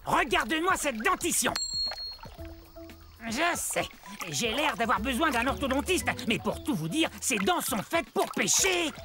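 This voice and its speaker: A woman voicing an excitable, showy meat-eating dinosaur in a children's educational cartoon, theatrically addressing the viewer. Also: sits high, a little slow. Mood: excited, cheeky. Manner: theatrical, exclaiming, talking to viewer. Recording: music behind the voice.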